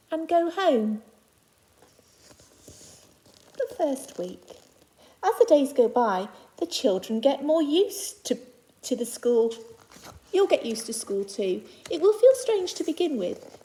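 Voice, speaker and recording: Higher-pitched woman reading to young children. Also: warm tone, rough recording. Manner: simple, child-directed delivery with deliberate phrasing and reassuring asides. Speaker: infant school teacher reading aloud to new pupils. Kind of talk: children's picture book read aloud with personal asides.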